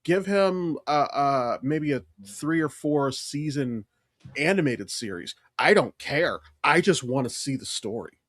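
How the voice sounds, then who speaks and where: A man's voice: mid-range voice, animated, a little slow, a bit bright, dry recording, studio quality. A man co-hosting a podcast, talking casually and emphatically like an opinionated, enthusiastic fan explaining things. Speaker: podcast co-host.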